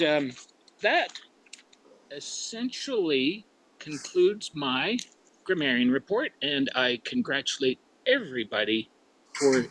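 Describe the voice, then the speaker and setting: A man's voice: medium pitch, a bit bright, slight background noise, rough recording. A man from Canada giving a grammarian's report at a club meeting, thinking aloud with many fillers. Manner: slow and musing, with frequent fillers.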